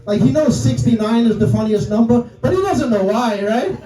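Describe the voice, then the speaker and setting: A man's voice: very high voice, animated, normal speed, mellow. A man in his thirties with a New York accent doing a profane stand-up set, constantly checking in with the crowd. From New York City. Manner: brash, profane, keeps asking the crowd "right?" and "guys". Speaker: stand-up comedian. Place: comedy club stage. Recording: roomy club sound from a distance. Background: audience laughter.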